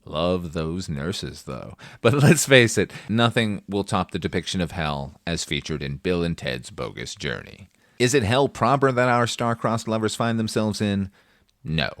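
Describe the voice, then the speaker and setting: A man's voice: low-pitched, animated, unhurried, fairly bright tone, dry recording, studio quality. A man hosting a film review podcast, delivering conversational, scripted commentary in a wry, opinionated way with emphatic asides. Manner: casual swearing.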